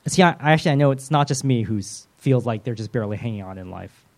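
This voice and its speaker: A man preaching a sermon as pastor, earnest and soft-spoken, with frequent restarts. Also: medium pitch, expressive, quick pace.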